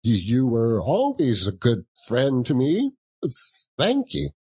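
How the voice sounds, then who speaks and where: A man's voice: mid-range voice, huge pitch swings, slow pace, darkish tone, husky, pitched above the performer's own voice, heavily acted. A man voicing a frightened old miser in a storybook reading, timid, stammering and pleading. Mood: timid, faltering. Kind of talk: dramatic audiobook reading.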